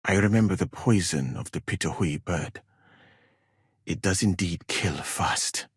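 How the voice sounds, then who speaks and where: Learned medieval traveler from mali, video game dialogue, lowish husky voice, formal n polite, measured, super dry sound. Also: male voice, no echo, full character voice. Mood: composed, courteous. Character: learned, courteous medieval man from Mali, well-travelled scholar.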